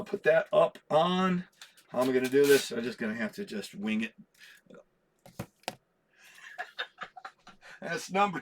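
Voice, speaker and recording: A slightly hoarse man hosting a comic book vlog, chatty and self-deprecating, laughing at his own slips. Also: fairly high pitch. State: a little hoarse. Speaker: comic collector host. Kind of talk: rambling casual vlog.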